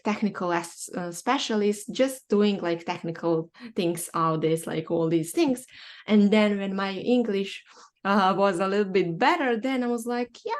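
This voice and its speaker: A young woman from Bosnia hosting a podcast chat in English with a Bosnian accent, speaking haltingly with many fillers and restarts. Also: mid-range voice. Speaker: podcast host. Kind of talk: casual online chat.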